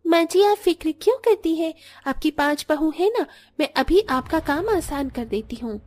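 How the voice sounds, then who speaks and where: Woman voicing a high-pitched, sweet young daughter-in-law. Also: lively intonation, normal speed, a little mellow. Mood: sweet, earnest. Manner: polite, deferential, reassuring. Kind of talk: scripted Hindi moral story voice acting.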